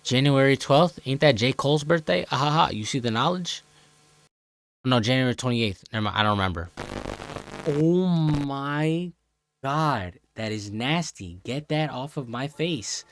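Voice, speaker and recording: A man's voice: mid-range voice, animated, measured pace, a bit bright, no echo. A young American man giving casual, joking reaction commentary in exclamatory slang. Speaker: host of a reaction channel.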